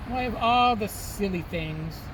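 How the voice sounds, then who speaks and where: A man voicing a huge, gentle, faithful storybook elephant, earnest and deliberate. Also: high-pitched, noisy background. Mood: amused, incredulous.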